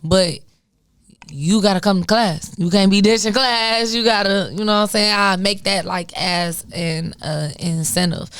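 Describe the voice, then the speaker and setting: A woman's voice: fairly low pitch, no room sound, clean studio sound. A woman from Chicago hosting a casual radio interview, upbeat and affirming, chatting freely with her guest.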